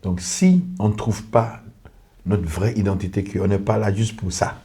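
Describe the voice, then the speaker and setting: Earnest pastor. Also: male voice, lowish voice, fairly even intonation, measured pace, dark tone. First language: English. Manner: earnest, repetitive, lists in short clauses. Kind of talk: testimony in a podcast conversation.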